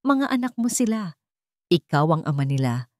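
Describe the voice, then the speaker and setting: A woman's voice: lowish voice, big pitch swings, normal speed, darkish tone, no room sound, clean studio sound. A woman voicing an ailing mother in a radio drama, emotional, earnest and pleading. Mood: earnest, tearful.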